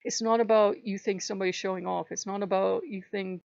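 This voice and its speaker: A slightly hoarse woman hosting her own channel, talking in an earnest, rambling way and repeating phrases for emphasis. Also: mid-range voice, fairly even intonation, fairly quick, a little mellow, no echo, dull audio. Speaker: channel host. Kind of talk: casual spiritual vlog monologue.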